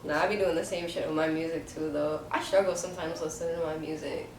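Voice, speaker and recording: A woman guest on an interview show, talking candidly about her music with relaxed slang and swearing. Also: low-pitched, quick pace. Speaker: rapper guest. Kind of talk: casual interview chat. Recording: echoey room, mic at a distance, faint background noise.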